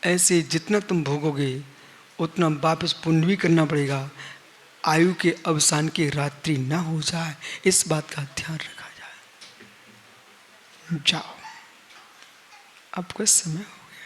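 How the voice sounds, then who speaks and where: High-voiced monk preaching to a crowd. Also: male voice, steady tone, slow pace, pauses often, fairly bright tone. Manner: conversational, questions the audience, acts out quoted people. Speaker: Jain monk giving a discourse. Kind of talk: sermon. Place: live assembly hall.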